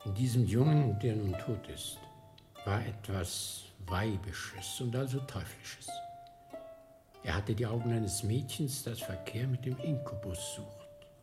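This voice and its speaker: An actor playing a fervent, gloomy old monk in a radio drama, impassioned and dropping into hushed whispers. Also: male voice, on the low side, unhurried, music in the background, lightly acted. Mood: fervent, accusing. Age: older.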